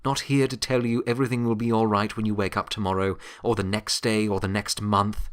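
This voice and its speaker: A man's voice: lowish voice, level tone, clean tone, natural voice. Man acting a wry, weary ancient retired Death. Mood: earnest, sombre. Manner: halting, rueful, reassuring. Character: Blanche, centuries-old retired pony Death, gentle, wry, weary. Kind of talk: dramatic audiobook character acting.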